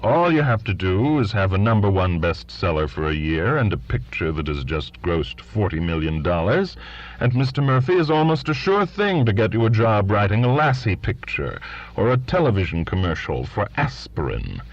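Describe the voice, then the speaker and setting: Sneering drunk man, loud and taunting, lo-fi sound, a man doing the voice. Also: a bass voice, big pitch swings, takes it slowly, gravelly, hardly any pauses, breathy edge, pitched below the performer's own voice, full character voice. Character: drunk mocking middle-aged male writer.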